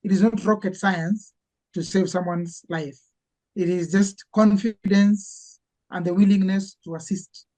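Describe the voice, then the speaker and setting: Man, earnest medic guest, halting delivery. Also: high voice, steady tone, warm tone, rough recording. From Kenya. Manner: earnest, halting, repeats words as he searches. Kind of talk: casual interview chat.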